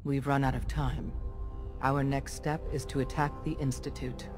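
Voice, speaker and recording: A woman's voice: extremely low pitch, level tone, moderate pace. An actress playing an earnest, weary female resistance leader in a video game, speaking in a grave, resolute manner. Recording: music behind the voice.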